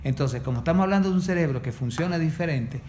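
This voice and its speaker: A man with a slightly raised voice explains things calmly, in a clinical way. He speaks as a guest specialist. A faint hum sits behind him. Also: a little high, fast talker. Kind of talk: podcast interview.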